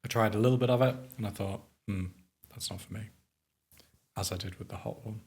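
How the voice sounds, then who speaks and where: A man with a low, husky voice co-hosts a casual podcast chat. He explains things calmly and drops in dry jokes. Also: brisk. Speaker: podcast co-host. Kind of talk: casual chat while tasting hot sauce.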